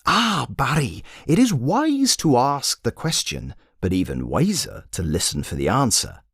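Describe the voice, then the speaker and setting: A man acting a whimsical male spiritual guide, slow ceremonial delivery, animated pitch, storybook character, dry close sound. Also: breathy edge, no room sound, exaggerated voice. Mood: ceremonious. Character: balding childlike mystical male guide, serene and giggly.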